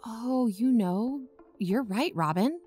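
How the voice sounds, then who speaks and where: A woman's voice: a little high, lively intonation, takes it slowly, darkish tone, slightly rough, soft background music, heavily acted. An actress playing a blunt, matter-of-fact young woman co-hosting an investigative podcast in an audio drama. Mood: agreeable. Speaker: podcast co-host. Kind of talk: fiction podcast narration and dialogue.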